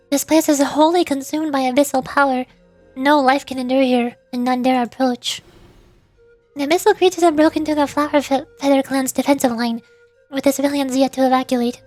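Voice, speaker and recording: A woman streams a video game as a vtuber. Her voice is high and she talks in a playful, expressive way. Music plays faintly behind her. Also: dry recording. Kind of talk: game livestream commentary, reading game text aloud.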